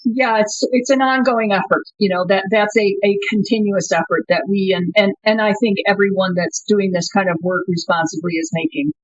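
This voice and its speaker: A woman's voice: mid-range voice, fairly even intonation, moderate pace, few pauses, dry recording, muffled recording. A woman company co-founder answering interview questions thoughtfully, often restarting her sentences. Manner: thoughtful, frequent restarts and fillers.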